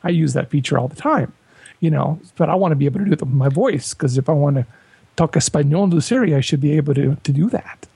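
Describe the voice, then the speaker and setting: Gravelly, husky man chatting animatedly. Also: quick pace, no room sound. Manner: animated, folksy, jumps to higher pitch when joking. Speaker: podcast panelist. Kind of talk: casual tech chat.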